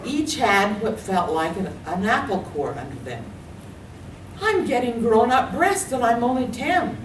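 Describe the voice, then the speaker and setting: A woman's voice: mid-range voice, slight background noise. Woman reading her memoir in an echoey room. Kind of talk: literary reading. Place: library meeting room. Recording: echoey room, distant mic.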